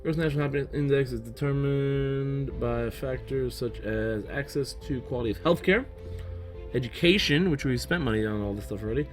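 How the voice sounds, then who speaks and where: A man's voice: medium pitch, a little fast, soft background music. A man hosting a gaming channel, losing his voice as he thinks aloud in casual let's-play commentary. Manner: thinking aloud, musing while playing.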